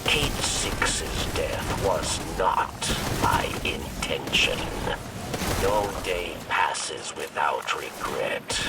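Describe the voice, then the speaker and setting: Slow, gravelly, weary alien exile. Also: male voice, a bass voice, expressive, loud music behind the voice, exaggerated voice. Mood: remorseful, grave. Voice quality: gravelly, breathy rasp. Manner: halting, formal, solemn, refers to himself by name. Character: weary, remorseful male alien exile speaking of himself in third person. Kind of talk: video game cutscene acting. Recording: loud game score, slightly distant voice.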